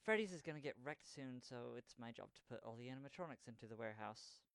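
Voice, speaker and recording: A man playing a weary, regretful young man devoted to his girlfriend in an audio drama, with a gravelly voice. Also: medium pitch, animated, fast, fairly bright tone, no echo. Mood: regretful, reassuring.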